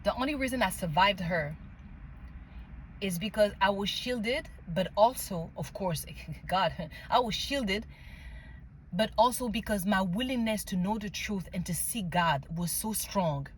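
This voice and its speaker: A woman with a French accent shares personal stories in an unscripted, emphatic way, stopping to check that the listener follows. Her voice sits at a middle pitch, and some ambient background noise runs behind it. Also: animated, measured pace, rough recording. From France and Mali. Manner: emphatic, often checks in with "okay?" and "right?". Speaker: spiritual vlogger sharing personal story. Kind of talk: casual unscripted personal storytelling.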